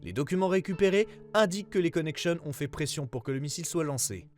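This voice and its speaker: A man playing a government investigator who reads out a formal case report in a serious, measured way, as scripted narration. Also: a little high, expressive, soft background music, natural voice. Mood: grave, formal.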